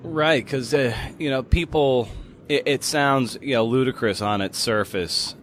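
A man's voice: mid-range voice, unhurried, slight background noise. A man hosting a casual interview, talking in a thoughtful, rambling and informal way. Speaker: podcast host, interviewer.

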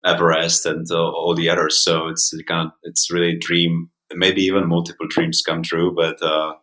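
A man with a Czech accent speaks as an interview guest in a very low voice with a bright edge. His intonation stays flat as he explains things conversationally, feeling for words. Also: normal speed. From Czech Republic. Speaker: mountain coach and podcast guest.

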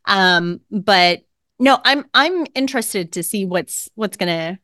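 A woman's voice: medium pitch, expressive, takes it slowly, bright, forceful tone, dry recording, studio quality. A woman co-hosting a podcast in casual chat from her home office, wry and self-mocking, laughing often. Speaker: podcast co-host.

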